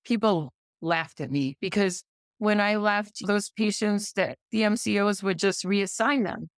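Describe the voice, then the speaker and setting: A woman's voice: mid-range voice, a little slow, no room sound, studio quality. A middle-aged American woman talking emphatically in a conversational interview, often pressing points with repetition and rhetorical questions. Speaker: podcast guest, pediatrician.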